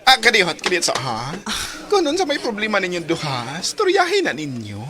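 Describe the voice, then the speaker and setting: A woman's voice: fairly low pitch, huge pitch swings, fairly quick, music in the background. A woman with a Filipino Cebuano accent playing a chatty, playful young friend in a radio drama. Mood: pleading. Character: chatty, lively young girlfriend, playful confidante.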